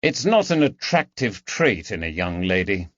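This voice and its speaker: A man's voice: low voice, sing-song, normal speed, fairly bright tone, dry recording, dull audio, heavily acted. A man voicing a shrewd, coldly mocking detective in an audiobook, with a dry, taunting manner. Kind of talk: audiobook character dialogue.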